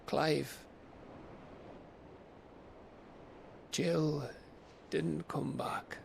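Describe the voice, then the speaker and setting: A loyal, rough-edged British outlaw in a fantasy game. He talks slowly with a creaky, gravelly edge, plain and unpolished. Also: a little high, flat delivery, slight background noise, exaggerated voice. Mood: gruff, downcast. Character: rough-spoken, loyal common-born male outlaw. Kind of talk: video game drama acting.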